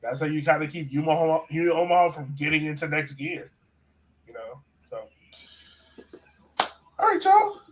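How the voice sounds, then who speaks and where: A man's voice: a little high, big pitch swings, moderate pace, pauses often. An American man hosting a sports podcast in casual, chatty conversation. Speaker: podcast host.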